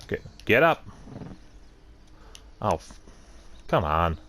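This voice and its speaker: A man's voice: deep voice, sing-song, takes it slowly, fairly bright tone, slight background noise. A man doing casual let's play commentary, thinking aloud and muttering to himself as he plays. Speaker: gaming video host.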